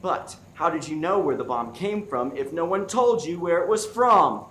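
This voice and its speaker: A man's voice: a little high, expressive, a bit bright. A man playing an earnest, dramatic FBI agent solving a murder in a comic radio play, recorded in an echoey room with background noise. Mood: pressing. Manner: deliberate, dramatic deducing. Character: one-eyed male FBI agent turned earnest, dramatic sleuth. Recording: roomy, echoey stage with clear background noise.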